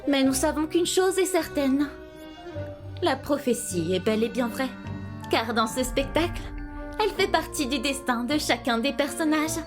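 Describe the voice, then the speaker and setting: A woman's voice: high voice, lively intonation, unhurried, over music. A woman voicing a flamboyant, grandiose young showwoman in a game fan dub. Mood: grave, mysterious. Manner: theatrical, declamatory.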